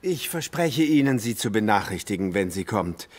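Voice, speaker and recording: An actor playing an obliging, deferential salon guest in a radio play, polite and courteous. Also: male voice, on the low side, big pitch swings, normal speed. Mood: helpful.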